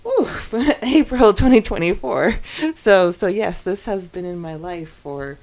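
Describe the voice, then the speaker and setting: A woman co-hosting a podcast in a casual, giggly, expressive way as she reads and reacts to listener comments. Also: mid-range voice, unhurried. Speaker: podcast co-host.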